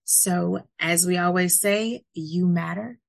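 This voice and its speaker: A woman's voice: on the low side, slow pace, vocal fry. A woman hosting a podcast, effusive and gushing with thanks and praise for her guest. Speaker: podcast host. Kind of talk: casual interview talk.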